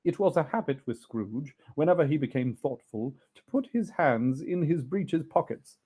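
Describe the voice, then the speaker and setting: Expressive, mellow male storyteller. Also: a little high, moderate pace, husky. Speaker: audiobook reader. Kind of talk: expressive scripted story narration.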